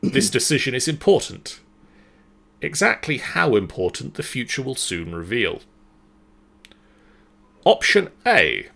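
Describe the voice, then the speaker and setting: A man serving as game master, narrating a story in a measured, dramatic way and then chatting casually. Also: mid-range voice, big pitch swings, creaky, sharp tone.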